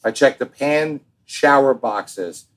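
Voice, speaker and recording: A man's voice: mid-range voice, slow pace. Showy, teasing American man hosting a podcast. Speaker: podcast co-host. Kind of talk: casual, bantering podcast talk.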